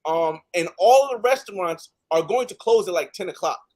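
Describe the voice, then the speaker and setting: Male panelist from the United States, high voice, emphatic casual talk with repeated phrases for stress. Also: lively intonation, a little fast, darkish tone.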